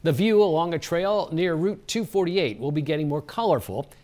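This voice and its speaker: A man's voice: a little high, normal speed, darkish tone. A man reading scripted news introductions as a presenter.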